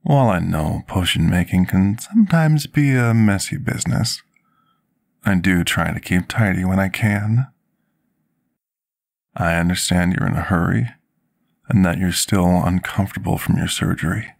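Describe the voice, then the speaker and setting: ASMR fantasy roleplay: humble male potion master played by a man, very deep, gravelly voice, measured formal delivery, apologetic and dutiful. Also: a little mellow. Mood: patient, instructive. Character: devoted, apologetic male potion master tending a dragon patient.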